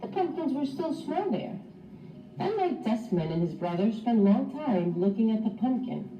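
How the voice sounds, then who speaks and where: A woman teacher reading a children's storybook aloud in an expressive, instructive way for young pupils. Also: fairly high pitch, moderate pace, faint music behind. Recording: roomy, distant mic, slightly dull.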